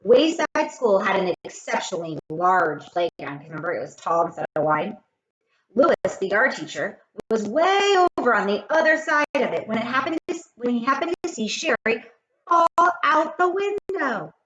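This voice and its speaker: A woman reading a children's story aloud in an expressive way, speaking straight to young listeners. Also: on the high side, moderate pace, dull audio. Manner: expressive, addresses children directly. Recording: roomy echo with faint noise.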